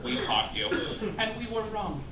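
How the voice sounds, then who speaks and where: A man's voice: sits high, big pitch swings, a little slow. A man performing a theatrical, campy comedy monologue, reading mock-official proclamations as host of a live show. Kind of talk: stand-up comedy monologue read to a live audience. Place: cabaret club stage before a laughing audience. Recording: roomy live club, distant mic, loud noise.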